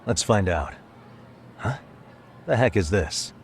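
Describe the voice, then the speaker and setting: A man's voice: fairly low pitch, animated, slow, a little hiss or hum. An actor playing a cool, determined male detective in a video game, talking in a terse, casual, unfazed way. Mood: focused. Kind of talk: English video game voice acting.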